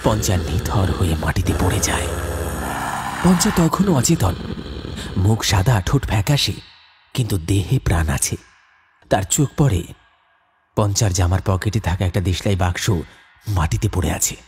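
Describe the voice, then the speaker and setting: A man's voice: deep voice, animated, normal speed, pauses often, darkish tone. A man telling a scripted horror story as a dramatic narrator. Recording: clear background noise, ambience.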